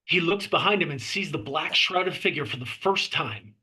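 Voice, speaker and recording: A man's voice: on the high side, animated, moderate pace, pushed and bright, husky. A man reading out scene descriptions as the narrator of a screenplay table read. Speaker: narrator reading stage directions.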